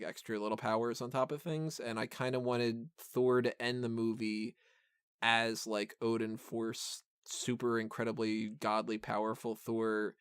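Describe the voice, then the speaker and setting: Clear-voiced man chatting casually, dry sound. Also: mid-range voice, no echo. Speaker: podcast host reviewing a film. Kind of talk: casual film discussion.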